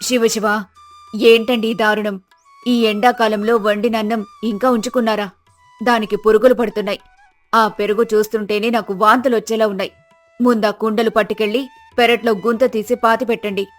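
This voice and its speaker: A woman's voice: fairly high pitch, little pitch movement, normal speed, soft background music, close to an everyday voice. A woman voicing a nosy, blunt village neighbour in a moral story, probing and scolding in disgust.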